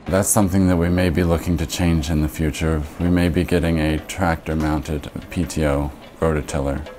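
Very deep, flat-voiced young man farmer. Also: normal speed, a little hiss or hum. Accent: American. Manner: matter-of-fact, methodical. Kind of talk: documentary interview. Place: living room, indoors. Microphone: lapel mic.

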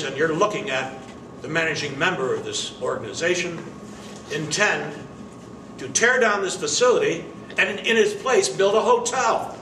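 Indignant older man at a press conference. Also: fairly high pitch. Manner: emphatic, indignant, deliberate with pauses. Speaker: managing member of the facility's owners. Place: indoor meeting room. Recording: roomy, distant, noisy camera audio.

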